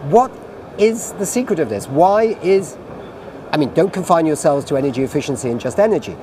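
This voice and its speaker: A man's voice: fairly high pitch, lively intonation, a little fast, noisy background. A British man moderating an online panel over a video call, asking long, chatty questions. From Britain.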